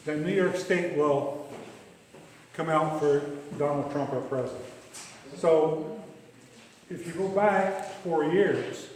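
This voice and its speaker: A man's voice: on the high side, darkish tone, slight background noise. A man giving an emphatic, insistent political talk at a club meeting, often repeating his points. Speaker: campaign speaker. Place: meeting room. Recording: echoey hall from far off.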